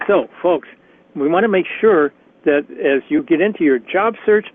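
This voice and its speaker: A man with a slightly raspy voice leading a career workshop on a conference call, chatty and encouraging with frequent restarts. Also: fairly high pitch, normal speed, slight background noise. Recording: tinny phone line.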